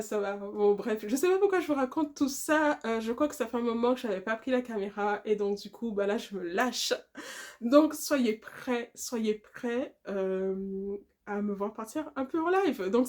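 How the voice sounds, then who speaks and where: A woman in her late thirties from Guadeloupe, chatting casually to the camera in a rambling, talkative way, often laughing and filling pauses. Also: mid-range voice. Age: 30s. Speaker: vlogger.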